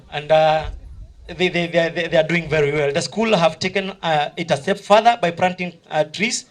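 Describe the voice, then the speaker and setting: A man with a Kenyan accent announcing as master of ceremonies at a live awards event, exhorting and repeating himself. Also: high voice. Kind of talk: live event announcing, switching between reading and hyping up. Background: music.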